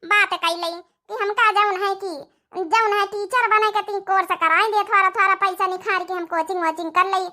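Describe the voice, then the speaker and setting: A woman's voice: very high voice, sharp tone. A woman voicing an earnest, deferential young bride in an Awadhi-accented comedy cartoon. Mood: thoughtful. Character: young new bride, earnest, deferential, simple-minded cartoon daughter-in-law.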